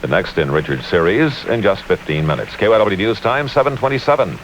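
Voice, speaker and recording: Casting: American man anchoring news radio, low voice, rapid weather read, faint hiss of old AM broadcast. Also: very animated. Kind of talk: scripted news and weather reading. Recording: hissy 1970s AM radio aircheck.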